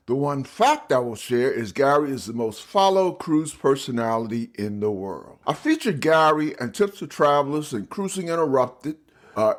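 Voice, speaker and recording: A man hosting a cruise podcast, reading an enthusiastic intro and then chatting with many fillers. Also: medium pitch. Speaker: podcast host. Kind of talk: interview podcast.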